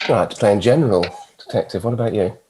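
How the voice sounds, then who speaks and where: An actor with a British accent playing a suave, mischievous immortal devil, teasing and flippant, at a TV drama table read. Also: male voice, on the low side, very fast, noisy background, natural voice. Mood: playful.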